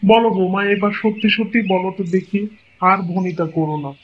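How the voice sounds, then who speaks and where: A man's voice: high voice, fairly quick, muffled recording. A man voicing a kindly, resigned old village father-in-law in a cartoon story, with a coaxing, homely way of talking. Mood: patient, reassuring. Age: older. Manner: coaxing, homely, repeats himself.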